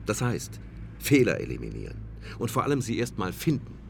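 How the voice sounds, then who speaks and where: A man's voice: lowish voice, huge pitch swings, fairly quick, gravelly, a little hiss or hum, exaggerated voice. A man playing a self-assured, wry company boss in a naturalistic radio drama, articulate and somewhat formal. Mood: earnest, bitter.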